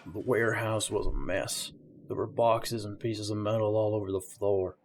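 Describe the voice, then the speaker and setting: A man's voice: on the low side, steady tone, moderate pace, background noise, natural voice. A man playing a grim, hard-boiled police detective who narrates his story in an amateur audio drama. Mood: tense, somber. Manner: somber first-person storytelling.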